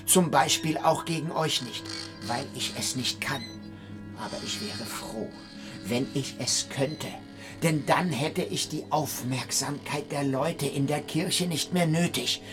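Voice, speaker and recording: An actor playing an odd, needy man who confesses in a halting, politely evasive way in a radio play. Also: fairly high pitch, over music, close to an everyday voice. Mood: meek, sly. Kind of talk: German radio play acting.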